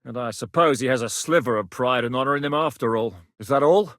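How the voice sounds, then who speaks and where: A man's voice: medium pitch, a little fast, fairly bright tone, no echo, close to an everyday voice. An actor playing a grizzled male war captain in a medieval video game, blunt, commanding and dryly sardonic, recorded in a studio booth. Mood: stern. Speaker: mercenary leader in a medieval game.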